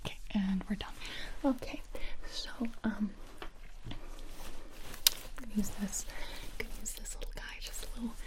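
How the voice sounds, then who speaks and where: A woman voicing a blunt, insulting female ENT doctor in a comedic ASMR roleplay, whispering in a deadpan way up close to the mic. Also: medium pitch, normal speed, long pauses, natural voice, poor audio. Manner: deadpan, insulting bedside manner.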